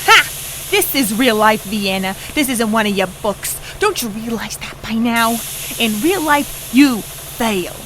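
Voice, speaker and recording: Give the villain mare of this audio drama a higher female voice from an actress. She is scheming and domineering, stretching her words out slowly as she taunts. Her delivery is theatrical and menacing, over faint background noise. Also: expressive. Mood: gloating.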